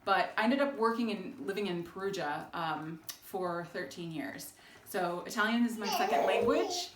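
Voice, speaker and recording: A woman's voice: medium pitch, animated, normal speed, roomy sound, very noisy. A woman in her forties from Texas, chatting casually to camera in her home kitchen with frequent ums and easy laughs. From Texas, United States. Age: 40s. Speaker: alumna sharing her story. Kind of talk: casual home video chat. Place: home kitchen in an old English house. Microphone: camera held by her husband.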